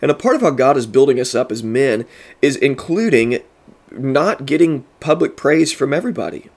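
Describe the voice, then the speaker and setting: A man's voice: medium pitch, expressive, moderate pace, creaky, darkish tone. A man with a mid-range voice, stuffed up and congested, talking to fellow pastors in an earnest, preacherly way. He leans into his key points as a podcast host giving devotional teaching.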